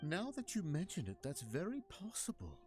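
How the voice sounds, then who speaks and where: High-voiced, excitable townsman. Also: moderate pace, soft background music. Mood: agitated. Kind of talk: anime game dialogue.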